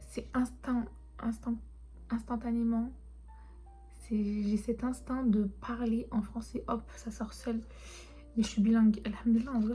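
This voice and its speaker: A young woman who grew up speaking Turkish, chatting casually and rambling softly, often half-whispering, as she shows her souvenirs. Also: a little high. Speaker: vlogger. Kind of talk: casual chat showing souvenirs.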